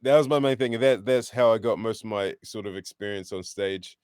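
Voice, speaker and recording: Casting: thirty-year-old man from New Zealand, relaxed guest, lowish smooth voice, dry sound. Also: a little fast, no room sound. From born in England, raised in New Zealand. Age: 30s. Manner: relaxed, friendly, thoughtful. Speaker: rapper, guest. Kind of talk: casual interview over video call.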